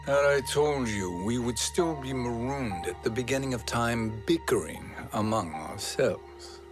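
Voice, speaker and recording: A man playing a scheming sorcerer who speaks smoothly and with condescension. His voice is mid-pitched, with orchestral music behind it. He sounds quietly self-satisfied. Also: animated, a little slow, close to an everyday voice. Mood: smug. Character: scheming male sorcerer, sly and smug. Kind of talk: video game cutscene acting.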